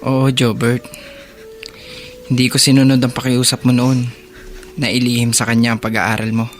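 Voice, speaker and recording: An actor with a Filipino accent playing a caring, protective older brother, a young man speaking gently and sincerely in a radio drama. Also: mid-range voice, monotone, normal speed, close to an everyday voice. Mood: sincere, apologetic. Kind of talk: Tagalog radio drama acting. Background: background music.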